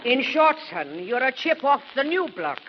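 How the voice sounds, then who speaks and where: A man playing a brash, blunt, seagoing old tugboat woman in a 1940s radio comedy, rough and wisecracking. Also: very high-pitched, big pitch swings, moderate pace. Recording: dull old radio recording, faint hiss.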